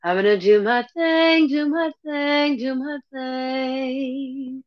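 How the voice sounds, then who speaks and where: A woman's voice: high voice, takes it slowly, no room sound. A woman guest storyteller and poet, speaking in a lyrical spoken-word way. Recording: dull, lo-fi audio.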